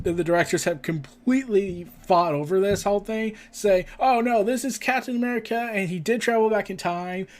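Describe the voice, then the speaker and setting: A man hosting a casual entertainment and gaming news commentary, stumbling as he reads and adding chatty asides. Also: sits high. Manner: stumbling when reading aloud, with chatty asides. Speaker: podcast host.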